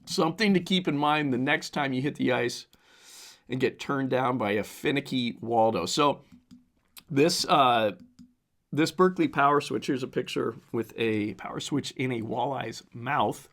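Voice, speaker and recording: A man hosting a casual solo podcast, talking through a hoarse, strained voice that keeps cracking, rambling with fillers as he reads from a newsletter. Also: mid-range voice, unhurried, fairly bright tone. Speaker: podcast host.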